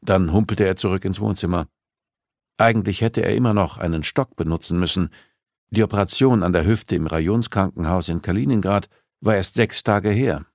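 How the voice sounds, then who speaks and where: A man's voice: low voice, moderate pace, darkish tone, no room sound, studio quality. A man reading a novel aloud as scripted audiobook narration.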